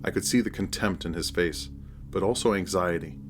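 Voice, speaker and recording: A man's voice: low-pitched, fairly quick, soft background music. A man narrating a scripted first-person horror story as its narrator.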